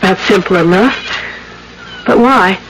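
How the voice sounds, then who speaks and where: An actress playing a kind, anxious young gentlewoman in an old-time radio drama, speaking in formal, genteel phrases. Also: medium pitch, slow pace, background noise, lightly acted. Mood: earnest, guarded. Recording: old radio recording with music.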